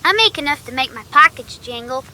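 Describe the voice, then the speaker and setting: A woman's voice: high-pitched, expressive, fairly quick, bright, forceful tone, heavily acted. A woman playing an eager, scrappy young shoeshine boy with plucky folksy talk in a radio Western. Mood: eager, chirpy. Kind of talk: old-time radio Western acting.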